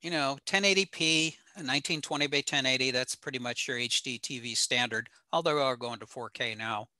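An older American man giving an informal slide lecture in an explanatory, halting way with frequent pauses. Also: medium pitch, sharp tone, no echo. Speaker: presenter, ham radio operator. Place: small home room indoors.

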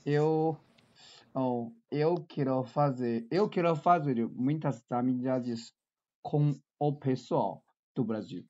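Chinese guy in his 20s on a video call, really slow, halting, keeps repeating words, kinda muffled sound. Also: medium pitch, mellow. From China. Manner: halting, hesitant, repeats words while searching. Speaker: language learner and channel host. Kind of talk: casual video chat. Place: small room indoors. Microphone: webcam on a video call. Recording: dull lo-fi video call audio.

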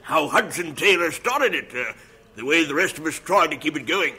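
A man's voice: a little high, expressive, normal speed, sharp tone, exaggerated voice. An actor playing an earnest British missionary superintendent in a radio drama, fervent and pleading when he preaches. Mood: chatty.

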